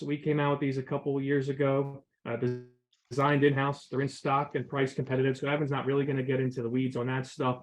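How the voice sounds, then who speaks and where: An upbeat man opening a webinar as a regional sales manager, friendly and a little scripted with occasional fillers. His pitch sits right in the middle for a man. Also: poor audio. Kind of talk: scripted intro.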